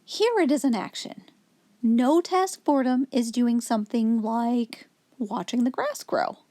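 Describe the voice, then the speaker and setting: A woman reading a children's picture book aloud expressively, in a clear, instructive storytelling manner for young children. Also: fairly high pitch, measured pace, dark tone, no echo, studio quality. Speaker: children's story reader, host.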